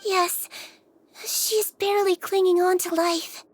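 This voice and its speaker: Very high-voiced gentle little nurse creature. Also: female voice, little pitch movement. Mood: grave. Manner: gentle, measured, polite. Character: tiny gentle Melusine head nurse, female, childlike, caring, composed. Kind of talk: video game character acting.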